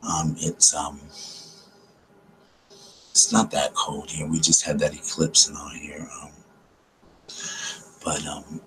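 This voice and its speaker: Deep, low-voiced man in soft chat. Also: completely flat delivery, a little hiss or hum, poor audio. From South Carolina. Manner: talks low and slow, many ums, greets viewers tenderly. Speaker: host of a late-night live chat stream. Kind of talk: soft late-night ASMR chat with viewers.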